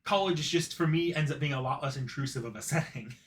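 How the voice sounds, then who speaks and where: A man's voice: fairly high pitch, fast. A man co-hosting a casual anime discussion, hesitant and often restarting his phrases. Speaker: podcast co-host.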